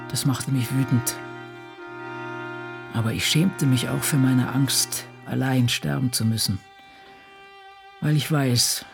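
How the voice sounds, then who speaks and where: Ailing elderly female writer, creaky voice, brooding and blunt, intimate radio monologue over clear music. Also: very deep voice, exaggerated voice. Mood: grave, defiant.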